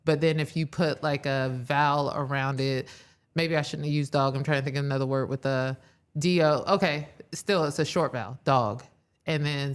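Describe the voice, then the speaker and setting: A podcast guest who speaks English as a second language, talking in a casual, middle-pitched voice. They explain ideas patiently, one step at a time. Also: smooth. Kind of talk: casual chat.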